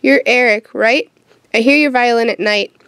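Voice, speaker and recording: A young woman playing a sardonic, troubled teenage witch in an audio drama, with a wry, deadpan manner. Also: fairly high pitch, normal speed, clear, resonant tone, no room sound, natural voice. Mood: curious. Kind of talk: audio drama acting with first-person narration.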